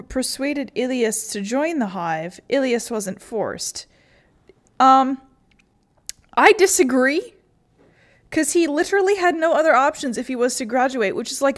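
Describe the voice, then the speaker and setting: A woman giving casual, opinionated commentary as she plays a game, in slangy everyday talk. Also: sits high. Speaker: gamer commenting on a playthrough.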